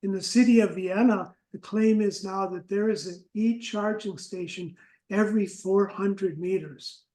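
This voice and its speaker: A man leading a class session, briskly moderating student introductions. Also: high voice, steady tone, a little mellow. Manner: upbeat, brisk, keeps things moving. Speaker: course instructor and moderator. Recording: roomy classroom echo.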